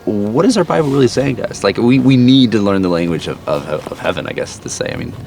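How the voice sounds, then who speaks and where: A man's voice: fairly low pitch, lively intonation, quick pace, vocal fry, a little mellow, slight background noise. A man hosting a casual interview, eager and chatty with rambling questions. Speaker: host.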